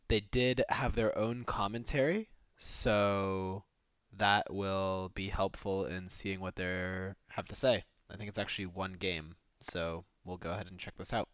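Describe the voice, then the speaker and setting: Smooth low-voiced man reviewing games. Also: no room sound, lo-fi sound. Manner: relaxed, explanatory, with frequent fillers. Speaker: host reviewing submitted games. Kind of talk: casual game analysis commentary.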